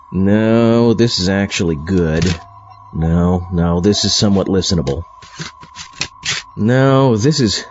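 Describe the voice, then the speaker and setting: A man's voice: low voice, darkish tone, dull audio. A man playing an exasperated zombie astronaut party host, dry and put-upon, in a comic audio drama. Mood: musing, picky.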